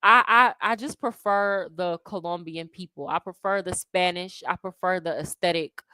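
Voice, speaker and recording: A young American woman hosting a casual livestream, chatty and slangy, answering viewers' questions with animated asides. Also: fairly low pitch, moderate pace, smooth, no room sound. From United States. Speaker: livestream host answering chat. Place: home abroad.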